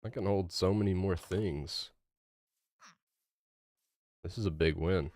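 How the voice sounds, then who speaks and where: Laid-back young man with a low voice. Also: takes it slowly, darkish tone. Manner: rambling, filler-heavy, laid-back. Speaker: streamer. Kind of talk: casual livestream chat while gaming.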